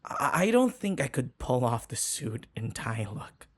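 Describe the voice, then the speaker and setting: A man's voice: medium pitch, very animated, unhurried. A man playing a flustered, submissive young human servant in an audio roleplay, stammering, hesitant and deferential. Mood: hesitant, resigned. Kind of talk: audio roleplay acting addressed to the listener.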